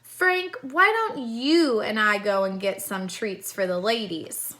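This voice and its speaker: A woman's voice: medium pitch, takes it slowly, sharp tone. A woman voicing a cheerful, proud and courteous family man from a storybook, speaking in an emphatic, polite way. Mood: eager, emphatic.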